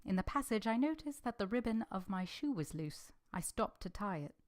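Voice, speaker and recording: A woman's voice: medium pitch, very animated, moderate pace, lightly acted. A woman acting an earnest, reserved young governess in a vintage radio drama, composed and polite in dialogue and narration. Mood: composed, reflective.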